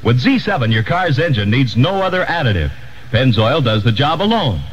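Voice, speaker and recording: A man's voice: mid-range voice, sing-song, normal speed, slightly rough. An American man giving a scripted 1960s radio commercial announcer read. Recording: muffled 1960s AM radio, slight noise.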